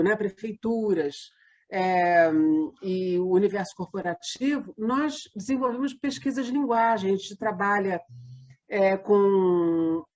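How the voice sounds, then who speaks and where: A woman's voice: medium pitch, darkish tone. A Brazilian woman, a theatre company member, explaining her work in a conversational interview.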